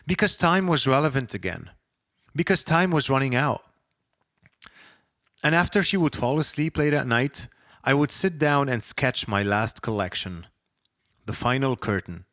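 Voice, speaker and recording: A man's voice: medium pitch, fairly bright tone, dry recording. Man reading fiction, very dry sound. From Lebanon. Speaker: author reading own short story. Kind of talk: scripted first-person literary narration.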